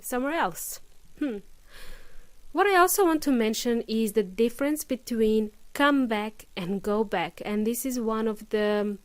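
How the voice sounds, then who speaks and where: Woman doing an english podcast lesson, bit high voice, patient, lots of um and uh, dry close sound. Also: dry recording. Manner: patient, explains slowly with examples and fillers. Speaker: English teacher, podcast host. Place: lives in Melbourne.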